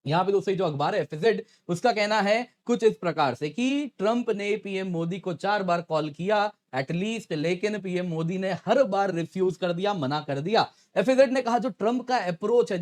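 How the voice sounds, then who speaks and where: A man's voice: sits high, a little mellow. A man presenting current affairs as an educator, conversational, addressing viewers directly and posing rhetorical questions. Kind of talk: explanatory news commentary to viewers.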